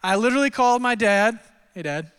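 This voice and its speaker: A man in his thirties from Texas, preaching a sermon and telling his own story in a relaxed, self-deprecating way with lots of fillers. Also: very high-pitched, fairly quick, clear, resonant tone. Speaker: pastor. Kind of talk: sermon with personal testimony. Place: church auditorium. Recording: long hall reverb.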